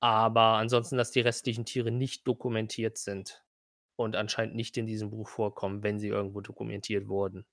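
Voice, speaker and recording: Man narrating in a flat, even tone. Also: on the low side, brisk, no room sound. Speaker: game master. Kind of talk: improvised tabletop game narration.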